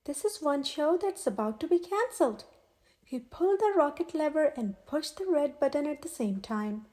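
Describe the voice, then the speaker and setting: A woman narrates a children's story in a high voice with a soft, mellow tone. She reads slowly, as a storyteller for young listeners.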